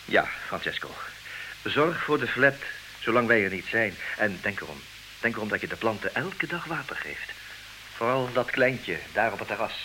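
An actor playing an intelligent, possessive, ironic husband in an old radio play, speaking earnestly in theatrical diction. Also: male voice, fairly low pitch, very animated, normal speed, pushed and bright, heavily acted, poor audio. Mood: instructing. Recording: hissy old radio recording, clear noise.

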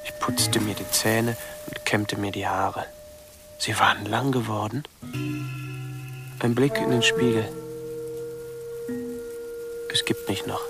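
An actor playing a withdrawn young male recluse, narrating introspectively in short, halting phrases in a radio drama. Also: on the low side, steady tone. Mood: reflective. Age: young adult. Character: withdrawn young male recluse confessing his hidden life. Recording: music behind the voice.

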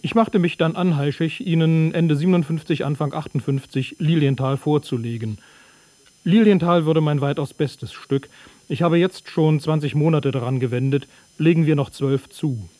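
A man reading letters aloud in a radio production, plain and steady. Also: a little high, moderate pace, no room sound. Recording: dry studio.

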